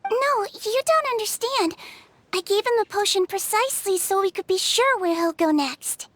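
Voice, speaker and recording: A tiny, gentle creature nurse with a very high voice. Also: female voice, animated, moderate pace, slight background noise. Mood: calm. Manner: soft, patient, matter-of-fact. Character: small gentle female creature nurse, calm and caring. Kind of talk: video game voice acting.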